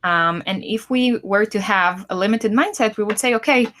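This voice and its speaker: A woman's voice: medium pitch, normal speed, a bit bright. Hebrew-accented woman co-hosting a chat. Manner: thoughtful, many fillers and restarts. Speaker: podcast co-host. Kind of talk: casual chat.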